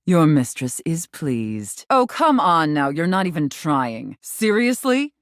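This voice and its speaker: A woman's voice: low-pitched, very animated, slow, dry recording, studio quality, full character voice. A woman voicing a haughty, domineering mistress character in a video game, imperious and scornful, savoring key words. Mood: haughty, menacing, then exasperated, candid. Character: haughty, domineering female safe with a dominatrix persona.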